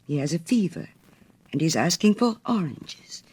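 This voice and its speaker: Woman acting sorrowful statue prince, storybook, noble, low musical voice, stately mournful phrasing, wide melodic range. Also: animated, moderate pace, darkish tone, husky. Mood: compassionate. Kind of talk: storybook character reading.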